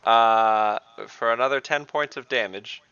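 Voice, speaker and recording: A man's voice: medium pitch, unhurried, sharp tone, clean tone, no room sound. A man chatting casually as a player in an online tabletop game, on slightly dull, dry audio.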